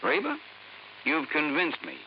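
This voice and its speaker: Through a muffled old radio sound comes a doting, agreeable husband. His high voice swoops dramatically in a comic 1950s skit style. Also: huge pitch swings, a little slow. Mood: agreeable, resolved. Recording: dull vintage radio recording, faint noise.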